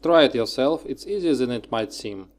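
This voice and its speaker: A man narrating a scripted software tutorial, giving short, clipped step-by-step instructions. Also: medium pitch, expressive, moderate pace.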